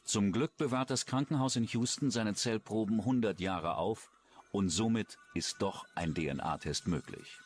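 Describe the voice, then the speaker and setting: A man's voice: on the low side, measured pace, slight background noise. A man with a standard German accent reading scripted true-crime documentary narration.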